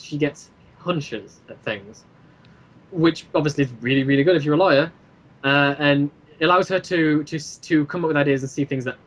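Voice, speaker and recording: A man in a casual podcast chat, explaining his own creative work in a rambling, halting way and repeating phrases as he goes. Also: a little high, low-quality recording. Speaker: guest, creator of an audio drama series.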